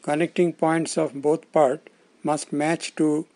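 A male tutorial presenter with a somewhat high-pitched voice reads scripted instructions. He explains things plainly, breaking the narration into short, measured phrases. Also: flat delivery, a little mellow, soft background music.

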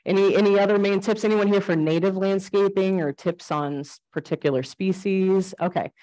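A woman giving an informal talk on native plants in a library, loud and friendly, asking the audience questions. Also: medium pitch, steady tone, normal speed, poor audio. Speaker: guest speaker on native plants. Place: library program room.

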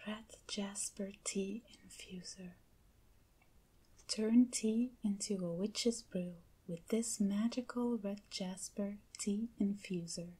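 A woman speaking in a hushed, breathy, gentle whisper, as in an ASMR unboxing video. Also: mid-range voice, steady tone. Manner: hushed, gentle, unhurried with pauses. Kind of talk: soft-spoken ASMR unboxing. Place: indoors, at a table. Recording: clearly noisy hissy close recording.

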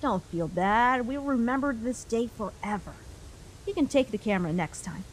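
A woman voicing a caring human child in a comic dub, a protective older sibling who speaks gently and reassuringly. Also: fairly high pitch, lively intonation, normal speed, slight background noise, natural voice, rough recording. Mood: cheerful, reassuring.

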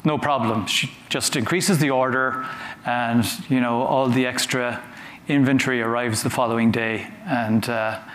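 A man's voice: mid-range voice, steady tone, fairly bright tone. Conference speaker man in a roomy hall. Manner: conversational, halting, repeats words. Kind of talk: conference talk with audience questions. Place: large conference hall on a stage. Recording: roomy hall sound with faint noise.

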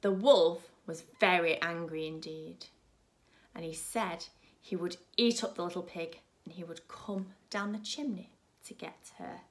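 A woman's voice: fairly low pitch, measured pace. A woman in her thirties, a primary school teacher, reading expressively to young children. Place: small furnished room at home, indoors.

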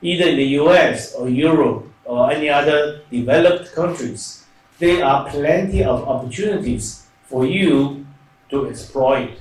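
An older man from Taiwan speaking on a university panel into a handheld mic, often repeating "okay" and restarting his phrases. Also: medium pitch. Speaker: university president. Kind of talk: panel talk. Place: large hall. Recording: echoey hall, distant mic.

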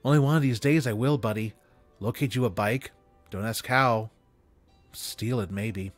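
A man voicing an affectionate, excitable elderly woman from a storybook, folksy and earnest in manner. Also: medium pitch, normal speed, dry recording, faint music behind, natural voice. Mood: frustrated, wistful.

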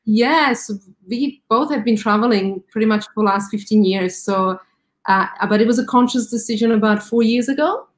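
A woman's voice: on the high side, moderate pace. A woman from Slovakia with a Slovak accent, a friendly guest chatting on a video call. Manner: friendly, explaining with many "like" fillers. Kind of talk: casual interview chat. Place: home, video call on webcam.

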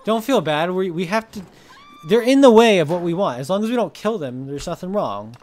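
A man's voice: high-pitched, lively intonation, brisk, a little mellow. A man in his twenties chatting casually while playing a video game, bossy and sarcastic, swearing freely. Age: 20s. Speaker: gaming host. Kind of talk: casual gameplay chat.

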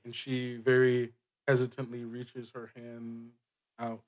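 A man speaking as game master, improvising narration and rulings, halting as he thinks aloud. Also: medium pitch, completely flat delivery. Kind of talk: improvised tabletop game narration and casual table talk.

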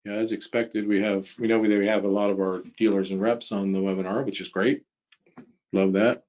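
A man's voice: lowish voice, little pitch movement, normal speed. Guy hosting an online webinar, kinda casual, muffled lo-fi sound. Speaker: webinar host. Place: indoor room, webinar video call. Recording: dull, lo-fi computer audio.

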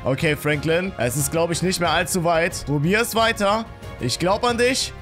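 A man giving excited, exclamatory let's play commentary, talking to viewers and his game character, close on a studio microphone in a small home room. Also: high-pitched, moderate pace, soft background music. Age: 20s. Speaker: gaming YouTuber. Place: small home streaming room with acoustic foam, indoors. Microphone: studio microphone on boom arm, close.

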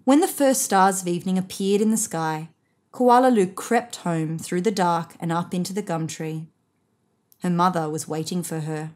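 A woman in her thirties reading a picture book aloud to children in an expressive, measured way. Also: on the low side. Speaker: storybook reader. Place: indoors, seated on a sofa.

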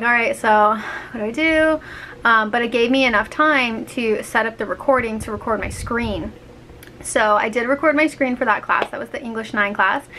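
A young woman in her twenties, a teacher chatting to camera in a small bedroom, filling her talk with "like" and "um", her voice a little scratchy and dry. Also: fairly high pitch. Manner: chatty, lots of fillers, animated hands. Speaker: teacher vlogger. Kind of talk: casual chat to camera. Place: small bedroom with laptop, indoors. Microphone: handheld camera at arm's length.

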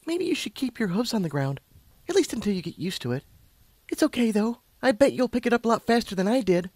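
A timid young pegasus mare, played by a man in a fanfiction audiobook. The voice is high, soft and lilting, with a hesitant, reassuring manner. It is recorded close and dry. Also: big pitch swings, quick pace, no echo. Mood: gentle, reassuring. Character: timid, sweet young female pegasus pony, gently encouraging.

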